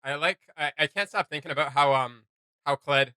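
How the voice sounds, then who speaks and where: Young guy doing reaction chat, kinda high bright voice, close dry sound, stumbles for words. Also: clean tone, no echo. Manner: halting, informal, searching for words. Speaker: reaction video co-host. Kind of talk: casual excited reaction chat.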